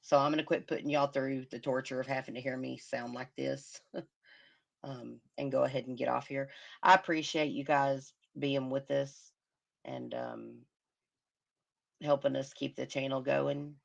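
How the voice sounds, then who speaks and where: A woman hosting a casual livestream, commenting on a crime case in a hoarse voice as she loses it and humming to herself. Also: a bass voice, unhurried. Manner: murmuring, sympathetic, hums to herself. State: hoarse, losing her voice. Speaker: livestream host.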